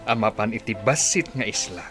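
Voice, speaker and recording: A man's voice: lowish voice, animated, a little fast, a bit bright, soft background music. A man acting a commanding sultan in an Ilocano radio drama. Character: commanding sultan ordering his men.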